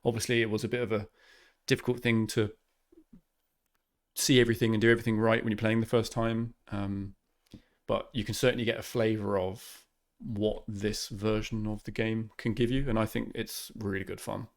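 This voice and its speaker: A man's voice: fairly low pitch, moderate pace. A man hosting a casual, friendly chat about a board game he just played. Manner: relaxed, friendly, casual slang. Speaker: channel host.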